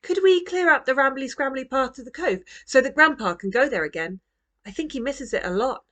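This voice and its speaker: An earnest, excitable little girl from a storybook, played by a woman reader. The voice is lifted high, hopeful and impatient, always pressing to be heard. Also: fairly quick. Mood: hopeful, pleading. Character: eager, hopeful young girl, pleading and impatient. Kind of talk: children's storybook reading.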